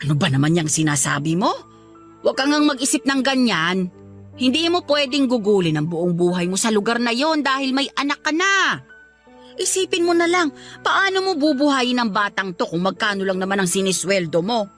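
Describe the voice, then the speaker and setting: Nagging, animated old Filipina grandmother. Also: a little high, normal speed, faint music behind. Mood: urging, concerned. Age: older. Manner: scolding, exclamatory, expressive. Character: nagging old Filipina grandmother, blunt but caring mother. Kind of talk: Tagalog radio drama acting.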